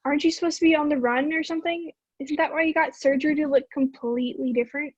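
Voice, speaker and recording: A woman's voice: high voice, steady tone, quick pace, dry recording, muffled recording, natural voice. A woman in an amateur play acting an indignant, bewildered runaway girl who presses with incredulous questions.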